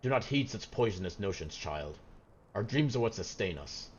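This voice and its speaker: A man's voice: lowish voice, expressive, moderate pace, darkish tone, slightly rough, muffled recording. A man reading out a grave, protective village elder from a fantasy game, in earnest, formal speech. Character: grave, protective village elder, worried about rash youths.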